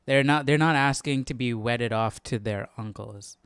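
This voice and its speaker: A man's voice: medium pitch, moderate pace. A man hosting a Bible study livestream, giving casual commentary and thinking aloud as he goes. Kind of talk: casual read-along commentary.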